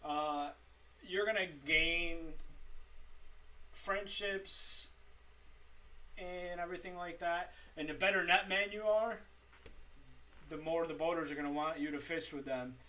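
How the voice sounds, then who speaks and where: A man in his forties giving informal tips to camera in a halting, improvised way from a home room. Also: on the high side, fairly even intonation, bright, forceful tone, distant mic, rough recording. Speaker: fishing tips presenter. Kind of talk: informal tutorial talk to camera. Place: small home streaming room, indoors.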